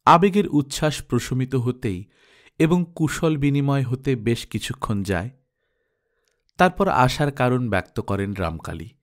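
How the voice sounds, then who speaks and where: A man's voice: mid-range voice, lively intonation, unhurried. A man narrating a literary story as an audiobook reader, with dramatic scripted delivery.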